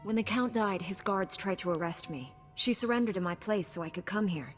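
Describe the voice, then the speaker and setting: Game heroine: actress as young Jedi knight, mid-pitched, earnest, resolute. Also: female voice, brisk, faint music behind, close to an everyday voice. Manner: earnest, direct.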